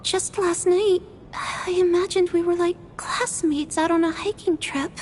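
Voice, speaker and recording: A woman's voice: extremely high pitch, fairly even intonation, unhurried, darkish tone, a little hiss or hum. A woman acting a naive, wistful young woman in video game dialogue. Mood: wistful disbelief.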